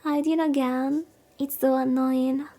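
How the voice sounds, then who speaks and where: A woman's voice: high-pitched, level tone, takes it slowly, dark tone. A woman VTuber reading a visual novel aloud in a soothing way and adding casual commentary. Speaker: VTuber streamer. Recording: background music. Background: music.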